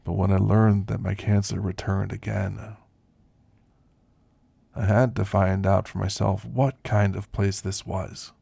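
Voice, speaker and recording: A man playing an elderly, ailing werewolf in an ASMR roleplay, gentle and reflective, reminiscing in a soft, deliberate, gravelly voice. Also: lowish voice, little pitch movement, no room sound, exaggerated voice. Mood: grave, thoughtful. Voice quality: gravelly, husky.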